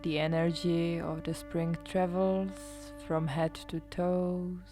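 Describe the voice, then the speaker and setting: Low, slow, hushed woman guiding meditation. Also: flat delivery. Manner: soothing, hushed delivery, often trailing into near-whisper. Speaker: yoga nidra meditation guide. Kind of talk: guided meditation. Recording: live instrumental music behind the voice. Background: soft live instrumental music.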